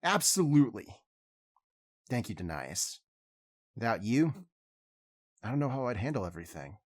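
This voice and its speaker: An actor playing a proud alien hero with an expressive, declamatory way of talking. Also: male voice, lowish voice, moderate pace. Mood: relaxed. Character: proud alien hero giving a public speech.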